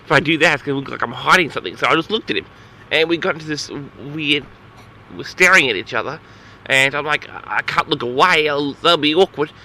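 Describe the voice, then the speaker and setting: A man's voice: a little high, brisk, bright, forceful tone, slight background noise, low-quality recording. A man telling a story casually as host of an audio log, rambling in an awkward, flustered, exasperated way.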